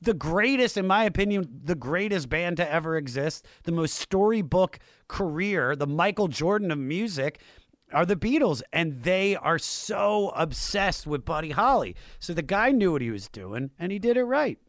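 A man's voice: a little high, expressive, normal speed, fairly bright tone, no echo, clean studio sound. An American man hosting an enthusiastic, conversational music show, slangy and emphatic, repeating phrases for stress.

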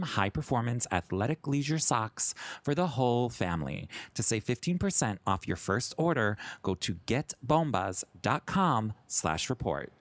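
A man's voice: medium pitch, fairly bright tone, no echo. A man from Chicago with a Chicago accent, co-hosting a podcast in playful casual chat. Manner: playful, laughs easily. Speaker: podcast co-host.

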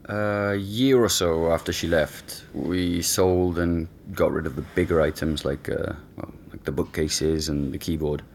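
Casting: informal, thoughtful male flatmate, deep bass register, hesitant natural delivery, slight rumble on recording. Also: unhurried, hardly any pauses. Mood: reflective, casual. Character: casual, reflective man recalling a vanished flatmate. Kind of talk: conversational audio drama acting.

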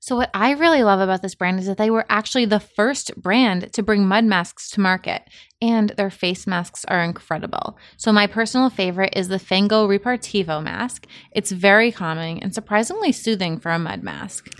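A woman's voice: medium pitch, moderate pace, dry recording, studio quality. Upbeat woman podcast co-host. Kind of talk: casual chat and ad read.

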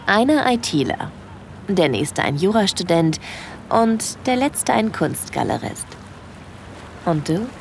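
A woman playing a shy, smitten young holidaymaker in an intimate first-person audio drama, breathy, confiding and easily flustered. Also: on the low side, darkish tone, slight background noise, exaggerated voice.

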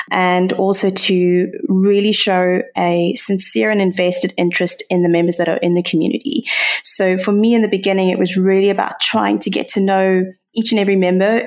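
A woman's voice: mid-range voice, a little mellow. A woman with a South African accent speaking earnestly as a guest on a podcast interview, often murmuring agreement. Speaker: podcast guest, community founder. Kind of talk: casual interview conversation.

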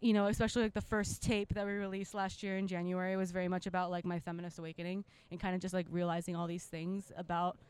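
Fast-talking, emphatic woman. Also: lowish voice, flat delivery, darkish tone, clear, resonant tone. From Japan. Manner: emphatic, passionate, frequent "like" and "you know". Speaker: musician being interviewed. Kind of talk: casual, opinionated interview chat.

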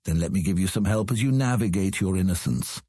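A man acting as a cunning, humorless old lord in an audiobook drama, measured and condescending. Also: low-pitched, expressive, mellow, breathy edge, dry recording, clean studio sound. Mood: condescending, amused.

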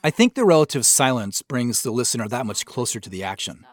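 A man's voice: mid-range voice, very animated, a little fast, no echo. A man who creates audio drama, giving a reflective, explanatory director's commentary.

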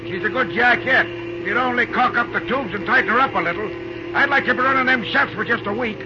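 A man's voice: very high voice, fairly quick, over music. An actor playing a cocky, brusque, slangy locomotive fireman in an old-time radio drama. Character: scrappy, cocky locomotive fireman, tough working man. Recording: noisy, muffled old radio recording.

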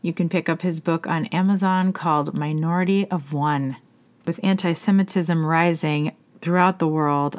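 A woman's voice: low-pitched, darkish tone. A woman hosting an interview podcast, speaking in both a prepared presenter style and a conversational one. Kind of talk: scripted introduction and conversational interview.

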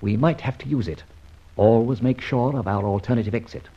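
A dull, band-limited old radio recording of a suave gentleman sleuth. His voice is gravelly and creaky, and he toys with mock-grand phrases in a wry, theatrical way, like a hero of an adventure serial. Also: medium pitch, fairly quick. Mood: brisk, curious.

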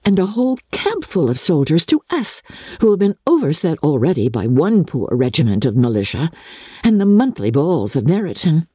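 A woman voicing a sensible young gentlewoman in an audiobook, with a dry, ironic manner. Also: deep voice, very animated, normal speed, slightly rough, no room sound, heavily acted. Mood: wry dismay.

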